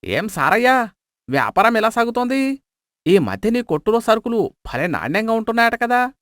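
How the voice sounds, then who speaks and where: Man acting a miserly shopkeeper, very animated pitch, wheedling, exclamatory, dry close sound, moral-story character. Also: moderate pace, no room sound. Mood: sly, wheedling. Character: sly, miserly male cloth merchant, wheedling and self-justifying.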